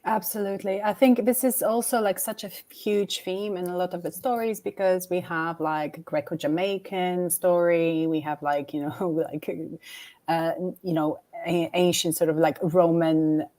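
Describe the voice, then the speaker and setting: A woman with a fairly low voice leads a livestream talk with an author. Her questions wander and stall, packed with "like" and restarts. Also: steady tone, normal speed, warm tone. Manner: rambling, hesitant, many "like" fillers. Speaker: interviewer. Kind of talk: livestream conversation.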